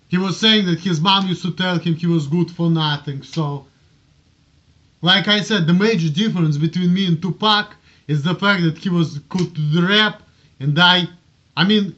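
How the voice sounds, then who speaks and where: Man, strong Russian accent, high-pitched voice, casual rambling vlog talk, muffled low-fidelity audio. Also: fairly bright tone. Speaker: amateur video blogger.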